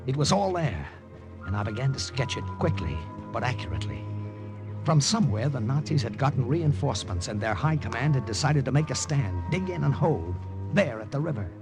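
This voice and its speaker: An actor playing an undercover American agent, narrating a 1950s radio drama in terse, suspenseful style. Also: male voice, medium pitch. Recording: vintage 1950 radio recording, music behind.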